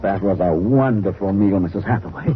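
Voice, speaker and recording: An actor playing a courteous, probing middle-aged spaceship captain with formal, emphatic delivery in 1950s radio drama style. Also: male voice, low voice, brisk, phone-quality sound, loud music behind the voice, natural voice. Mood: gracious. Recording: noisy, band-limited old radio broadcast.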